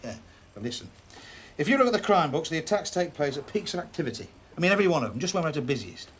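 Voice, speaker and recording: An impassioned British male detective in a TV police drama. His voice swings widely and sits high for a man. He presses his points bluntly and insistently, with faint noise behind him. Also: very animated, racing, a bit bright. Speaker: detective constable. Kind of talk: naturalistic TV police drama acting.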